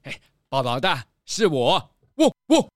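A man's voice: fairly high pitch, huge pitch swings, a little slow, heavily acted. A man playing a timid, ingratiating neighborhood patrol dog in a children's audio drama, polite and stammering. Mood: eager, polite. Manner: stammering, nervous chuckling, polite.

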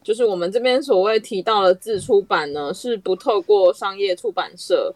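A woman's voice: medium pitch, normal speed, a little mellow, no echo. A woman with a Taiwanese Mandarin accent hosting a podcast, explaining things in a conversational way. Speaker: podcast host.

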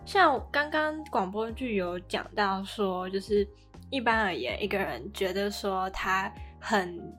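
A woman's voice: mid-range voice, expressive, unhurried, fairly bright tone, faint music behind. A woman with a Taiwanese accent co-hosting a podcast in casual, chatty discussion. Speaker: podcast co-host.

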